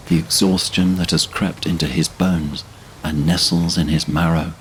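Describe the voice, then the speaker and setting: A man narrating a fantasy audio drama in a solemn, measured manner. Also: low-pitched, a little hiss or hum, low-quality recording.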